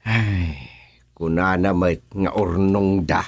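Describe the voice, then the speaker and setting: A man playing an excitable, comic village chairman in a radio drama, exclaiming with drawn-out interjections. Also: deep voice, no echo, heavily acted. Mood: serious, indignant. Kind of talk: comedic radio drama acting.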